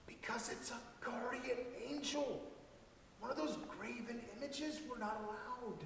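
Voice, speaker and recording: Worried high-voiced young boy played by a man. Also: measured pace, vocal fry, a bit bright, slight background noise, exaggerated voice. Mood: hushed, guilty. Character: earnest, worried nine-year-old boy in a holiday story. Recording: echoey hall, distant mic.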